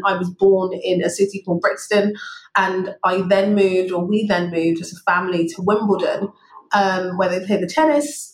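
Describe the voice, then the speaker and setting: A woman from the UK, a guest in a podcast interview, talking reflectively with many "you know"s. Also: mid-range voice. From United Kingdom. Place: remote call. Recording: roomy remote recording.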